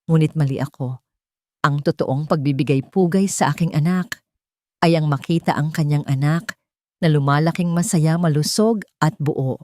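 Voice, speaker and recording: A woman's voice: sits low, normal speed, warm tone, no echo, lightly acted. A woman voicing a grieving, remorseful elderly grandmother in a drama story, subdued and solemn. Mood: tender, apologetic.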